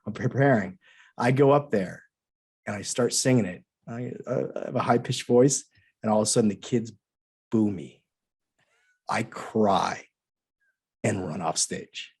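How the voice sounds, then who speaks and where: Husky-voiced male podcast host. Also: lowish voice, unhurried, pauses often, a little mellow. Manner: chatty, rambling, self-deprecating anecdotes. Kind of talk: casual interview chat.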